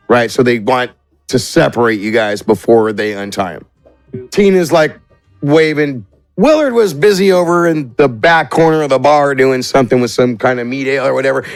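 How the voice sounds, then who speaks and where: A man's voice: on the high side, creaky, dry recording. A man working as game master, narrating an improvised tabletop game in a casual, sweary way and acting out characters. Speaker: game master and show host.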